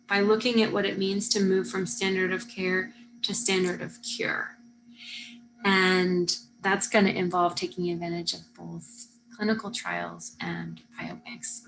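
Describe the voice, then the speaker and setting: A woman's voice: lowish voice, a bit bright, low-quality recording. A woman hosting a live science event, speaking as a moderator who introduces speakers.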